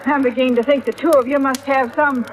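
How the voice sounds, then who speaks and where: An actress as a high-pitched, prying older lady. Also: steady tone, fast, a little hiss or hum, lightly acted. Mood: teasing, nosy. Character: prying, chatty older woman ship passenger. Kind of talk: 1940s radio acting. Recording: vintage radio drama recording.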